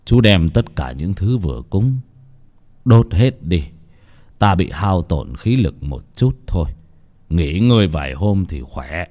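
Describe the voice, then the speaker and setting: A man's voice: sits low, big pitch swings, slow, mellow, pitched below the performer's own voice, heavily acted. A man voicing a weary old exorcist giving solemn instructions in a horror audio story.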